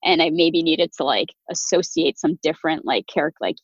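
A woman's voice: low voice, normal speed, breathy edge, no room sound. A woman CEO, a guest on a podcast, talking casually and chattily with lots of "like" fillers. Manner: chatty, many "like" fillers, laughs easily. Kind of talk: casual conversational interview. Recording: dry, dull lo-fi sound.

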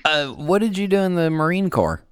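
A man's voice: on the high side, big pitch swings, a little fast, clean tone, dry recording, studio quality. A man hosting a podcast interview in casual chat, joking and chuckling at his own quips. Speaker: podcast host.

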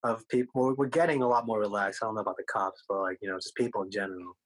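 A man's voice: on the low side, fairly even intonation, very fast, poor audio. A man whose first language is Korean, a guest speaking loosely and jokingly, full of "like" and "you know". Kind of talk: casual interview chat.

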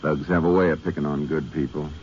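An actor playing a calm, methodical lawman who questions witnesses, in an old radio drama. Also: rumbling low, fast, a little hiss or hum. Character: calm, steady male Texas lawman, middle-aged. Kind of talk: 1950s radio drama acting. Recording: dull lo-fi old radio recording.

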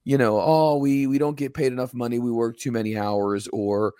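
Hoarse, emphatic podcast co-host man. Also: medium pitch, normal speed, dry recording, studio quality. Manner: emphatic, ranting, animated when quoting others. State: slightly hoarse. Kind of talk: casual podcast chat.

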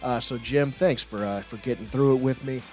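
A man's voice: medium pitch, normal speed, darkish tone, soft background music. A man hosting a sports podcast in a casual, jokey style, playful and given to hyperbole.

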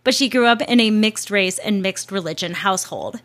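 A woman's voice: medium pitch, moderate pace, fairly bright tone. A woman hosting a show, reading a scripted narration in a casual way with chatty asides and the odd swear word.

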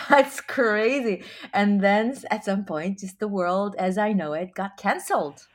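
A woman from Israel, a singer chatting as a guest in an interview. She is animated, laughs often and restarts her sentences. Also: medium pitch, a little slow. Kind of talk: casual interview chat.

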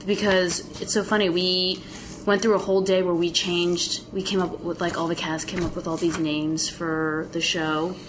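A woman's voice: fairly low pitch, level tone, moderate pace, background noise. Chatty young woman, laughing asides. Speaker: guest, TV actress. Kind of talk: casual chat.

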